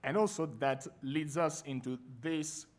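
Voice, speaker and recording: A man's voice: fairly high pitch, measured pace. Italian man presenting a workshop. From Italy. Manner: friendly, lively presenting with fillers. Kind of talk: conference workshop talk. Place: conference room with audience.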